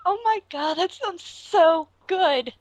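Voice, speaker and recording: A woman making a prank phone call, gushing in a breathy, exaggeratedly flirtatious way. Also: very high-pitched, takes it slowly, no room sound. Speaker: prank caller.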